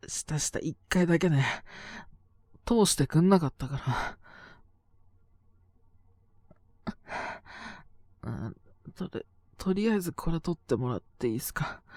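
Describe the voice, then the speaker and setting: A man's voice: fairly high pitch, huge pitch swings, unhurried, pauses often, slightly rough, dry recording, exaggerated voice. A man playing a sick, sleepy young boyfriend in an audio drama, grumbling casually in a murmur, exasperated yet fond. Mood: irritated, tired. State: coughing, acting sick with a cold. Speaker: voice actor. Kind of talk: Japanese situation voice drama aimed at listeners.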